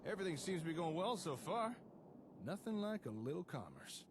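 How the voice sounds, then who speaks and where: A man's voice: fairly high pitch, big pitch swings, a little fast. An actor playing a folksy, easygoing man who organises a settlement and explains things in video game dialogue. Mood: genial, explaining. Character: folksy, genial male settlement organiser explaining building plots. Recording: clear background ambient noise.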